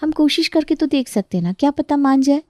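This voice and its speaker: A woman voicing a young daughter-in-law, gossipy and prone to complaint, in an animated family story. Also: sits high, a little fast, no echo, clean studio sound, voice pushed up. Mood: eager.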